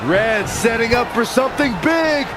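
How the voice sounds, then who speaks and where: Loud, high, dramatic wrestling commentator. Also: male voice, moderate pace, heavy background noise. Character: opinionated, dramatic male wrestling colour commentator, loud and excitable. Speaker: colour commentator. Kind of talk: wrestling commentary in a video game. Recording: loud arena crowd and slams.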